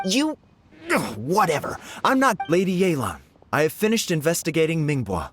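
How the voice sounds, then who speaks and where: A man voicing an earnest young male informant in a video game, with an expressive, eager way of reporting. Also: fairly high pitch, normal speed. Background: game music.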